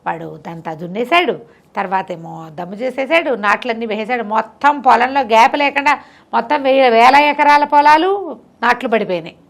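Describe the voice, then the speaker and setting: A woman's voice: on the high side, animated, moderate pace. A middle-aged woman tells a moral story conversationally, repeating phrases for emphasis and explaining the lesson simply.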